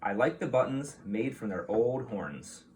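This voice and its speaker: A man reading a picture book aloud to young children like a teacher, in an expressive, explanatory way. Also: mid-range voice, measured pace, slight background noise.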